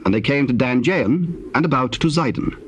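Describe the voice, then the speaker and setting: A man narrating scripture from the King James Bible in a formal, measured storytelling manner. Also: medium pitch, lively intonation, dull audio. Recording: old cassette tape, faint background noise.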